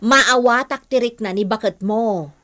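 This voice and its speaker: Frank advising older sister figure. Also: female voice, on the high side, unhurried, pushed and bright. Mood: gently admonishing. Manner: reasoning, admonishing. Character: calm, frank older female relative giving advice. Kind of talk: radio drama acting.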